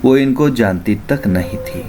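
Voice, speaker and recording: A man's voice: mid-range voice, unhurried. A man narrating a scripted horror folk tale as a storyteller. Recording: prominent music under the voice. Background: music.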